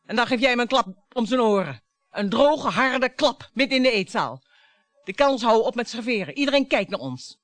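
An actress in a radio play playing a bitter, provocative wife who dwells on words theatrically. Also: female voice, a little high, expressive, fairly quick, a bit bright, no room sound. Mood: accusing. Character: bitter, taunting wife dredging up old family grievances.

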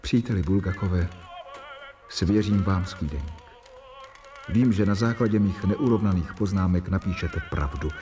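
An actor playing an earnest, devoted doctor, speaking solemnly and rhetorically in a radio drama. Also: male voice, deep voice, warm tone, soft background music, close to an everyday voice. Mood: solemn confession. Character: earnest, devoted doctor, awed assistant confiding his diary.

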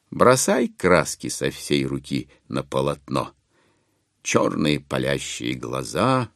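A man playing a wry author-narrator in an audio drama, phrasing deliberately and expressively with irony. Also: low-pitched, vocal fry, no echo, heavily acted.

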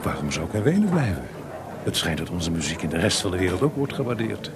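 An actor playing a kindly, calm older composer in a radio drama, speaking in a measured way. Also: male voice, low-pitched, background noise. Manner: measured, reassuring. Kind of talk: Dutch radio drama acting. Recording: radio play with crowd murmur.

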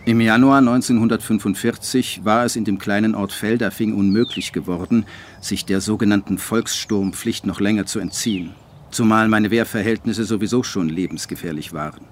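An actor playing a brooding postwar writer who narrates his life in a measured, dry, literary way for a radio drama. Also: fairly low pitch, steady tone, slight background noise, close to an everyday voice. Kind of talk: scripted radio drama monologue.

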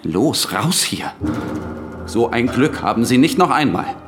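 An actor playing a brusque, officious police official of the Nazi era, curt and interrogating, in a German radio drama. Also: male voice, medium pitch, very animated, unhurried, music in the background. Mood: gruff, dismissive.

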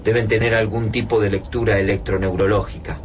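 An Argentine actor playing an observant, analytical resistance survivor in a radio drama, speaking in a measured way. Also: male voice, on the low side, flat delivery, far from the mic, noisy background, natural voice. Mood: reflective. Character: analytical male resistance survivor in an invaded city.

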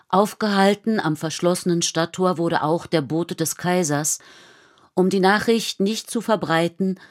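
A woman reading literary radio play narration, grave and deliberate, pausing between phrases. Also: lowish voice.

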